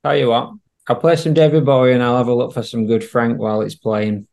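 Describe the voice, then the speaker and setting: A man's voice: medium pitch, little pitch movement, fairly quick, creaky, noisy background. A man hosting a casual music discussion, feeling his way through his points with some hesitation. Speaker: podcast host.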